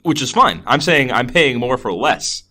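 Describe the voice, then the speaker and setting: A man co-hosting a podcast in playful, expressive casual chat, often repeating short phrases for emphasis. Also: medium pitch, moderate pace. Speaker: podcast co-host. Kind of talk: casual chat about beer and films.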